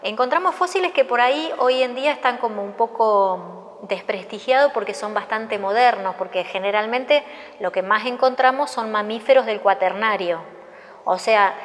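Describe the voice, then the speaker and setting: An Argentine woman explaining casually, as a specialist in her field would. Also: fairly high pitch. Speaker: paleontology specialist. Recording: long reverberant hall echo.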